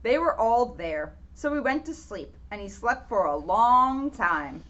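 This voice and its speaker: Woman, children's read-aloud, fairly high voice, lively intonation, playful emphasis, slightly dull lo-fi recording. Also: measured pace. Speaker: police officer reading a children's storybook aloud. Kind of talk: expressive storybook read-aloud with casual asides.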